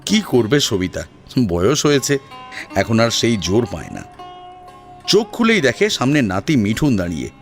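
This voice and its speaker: A man's voice: medium pitch, huge pitch swings, moderate pace, pauses often, faint music behind. A man narrating a scripted story in a dramatic storytelling style.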